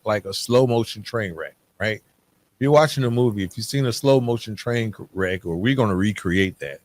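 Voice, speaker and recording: A man with a mid-pitched voice speaks as a solo podcast host. His delivery is candid and informal, sitting between prepared notes and loose conversation. He talks straight to the listener and often tags his points with a short check-in question. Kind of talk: solo podcast talk on recovery, half-scripted and conversational.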